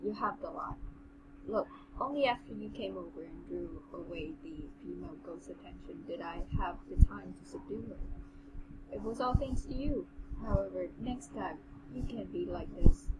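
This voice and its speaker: A woman voicing a gentle, earnest young man, a kindly immortal who reassures and advises, in an amateur audio drama reading. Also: lowish voice, normal speed, natural voice. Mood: gently reassuring. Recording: clearly noisy room recording.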